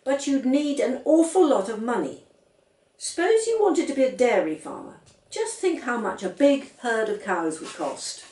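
Woman reading as a patient father, lively pitch. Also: moderate pace, roomy sound, recorded from a distance. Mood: patient, doubtful. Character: doubtful, patient, teasing father of young children.

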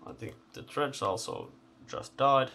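A man giving unscripted hands-on commentary as he takes electronics apart, halting and thinking aloud in short phrases. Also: medium pitch, wildly swooping pitch, creaky, pushed and bright, slight background noise. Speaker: host of electronics teardown videos. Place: indoor electronics workbench.

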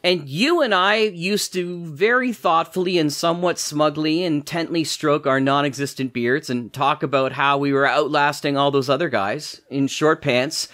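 A man's voice: a little high, normal speed, hardly any pauses, bright, forceful tone. A man hosting a podcast interview, talking in a wordy, playful, teasing way. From Canada. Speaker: podcast host. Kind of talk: chatty interview with playful scripted introduction.

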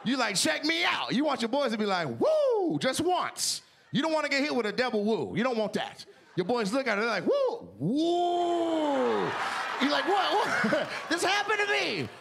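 A man from Seattle doing stand-up on a studio stage with a handheld mic, loud and animated, shouting his punchlines. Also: very high-pitched, moderate pace, vocal fry, a little hiss or hum. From Seattle, Washington. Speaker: stand-up comedian. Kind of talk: stand-up comedy. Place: large TV studio stage with live audience.

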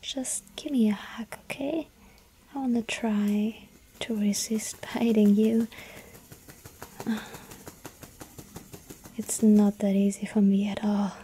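A woman voicing a lonely yandere vampire girl in an ASMR roleplay, whispering softly and pleading. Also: medium pitch, steady tone, slow, pauses often, a little hiss or hum. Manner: breathy soft whisper, pleading.

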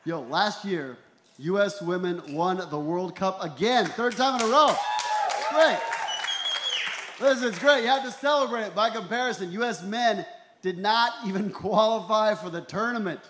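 Guy in his 40s doing standup on a stage mic, kinda high voice, dry self-mocking bits, pretty echoey room. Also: normal speed, crowd noise, noisy background. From Ecuador and El Salvador. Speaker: stand-up comedian. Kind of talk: stand-up comedy routine. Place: small comedy venue in a tent, on stage. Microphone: handheld stage microphone. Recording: roomy live comedy club sound.

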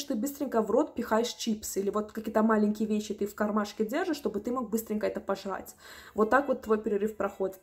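A young woman in her twenties telling a story to the camera from a small room at home, animated and indignant. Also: a little high. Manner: animated, indignant, acts out customers' voices. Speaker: vlogger.

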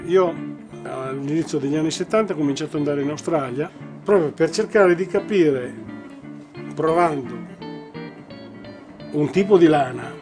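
A man's voice: on the high side, moderate pace, long pauses. An older man recollecting in a documentary interview. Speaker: textile company head. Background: plucked guitar music.

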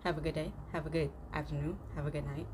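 A young woman host giving casual, slangy, halting reaction commentary that often repeats itself. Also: sits low, steady tone. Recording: noisy room recording.

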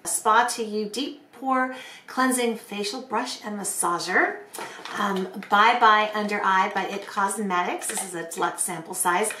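Casting: middle-aged female beauty vlogger, bright but a bit hoarse, casual chatty delivery, roomy indoor sound. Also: medium pitch, a little slow, slight background noise. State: hoarse from bronchitis. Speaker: beauty vlogger hosting a giveaway. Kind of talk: casual chatty product presentation to camera. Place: small bedroom, indoors. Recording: roomy, echoey bedroom sound.

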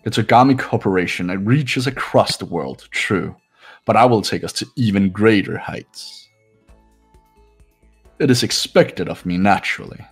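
A man voicing an arrogant, condescending young heir in a visual novel game, speaking in a pompous, measured way. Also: lowish voice, husky, faint music behind. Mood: pompous, self-satisfied.

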